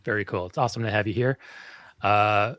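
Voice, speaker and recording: A man's voice: on the low side, a bit bright, dry recording. A man moderating a livestream panel, talking enthusiastically with many ums and uhs. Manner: filler-heavy, enthusiastic, halting.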